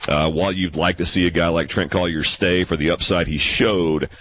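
Deep bass-voiced sports analyst. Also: male voice, fairly even intonation, a bit bright, a little hiss or hum. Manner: measured, analytical, self-deprecating.

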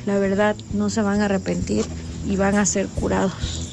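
A woman in her thirties gives an earnest testimonial. Her delivery is flat and gravelly. Music runs clearly beneath her. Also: medium pitch, normal speed. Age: 30s. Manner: earnest, sincere. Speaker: testimonial speaker in ad. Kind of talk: read testimonial. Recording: radio ad with music bed.

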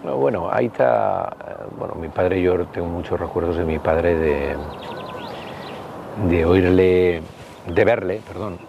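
A man in his sixties with a Basque Spanish accent, rambling and halting as he casually tells anecdotes in an interview. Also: low-pitched, creaky, highs cut off, background noise. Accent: Spanish from the Basque Country. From Basque Country, Spain. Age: 60s. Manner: rambling, halting, anecdotal, peppered with tag questions. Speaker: interview guest, political party member.

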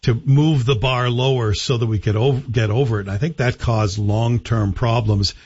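A male radio host with a slightly husky voice sounds a little muffled on broadcast audio. He asks questions and offers his views in an easy, conversational tone. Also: medium pitch, fairly even intonation, moderate pace, darkish tone. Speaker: radio talk show host. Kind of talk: radio interview.

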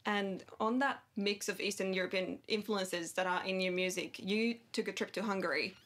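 Woman hosting an interview, medium pitch. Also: normal speed, a bit bright. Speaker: interviewer, radio host. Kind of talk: prepared interview questions.

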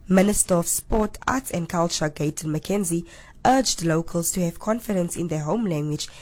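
A woman with a South African accent delivering a scripted news report in a steady, formal way. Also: fairly low pitch, moderate pace.

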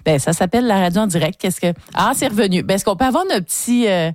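A woman's voice: medium pitch, animated, fast talker, no echo, clean studio sound. A woman with a Quebec French accent, chatting conversationally as host of a live morning radio show.

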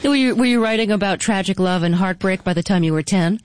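Calm woman radio interviewer. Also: medium pitch, fast talker, no echo.

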